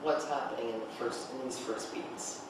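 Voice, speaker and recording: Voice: mid-range voice, fairly even intonation, moderate pace. A teacher leading a table read, prompting a group with questions and repeating their answers back. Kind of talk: discussion, questioning and instructing a class. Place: echoey indoor room, recorded from a distance. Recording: echoey room, far mic, clear noise.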